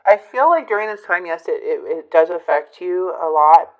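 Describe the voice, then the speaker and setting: A woman giving a casual tarot reading to her viewers, musing and hesitant, her voice a bit hoarse from a recent illness. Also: fairly low pitch, lively intonation, moderate pace, dull audio. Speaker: tarot reader.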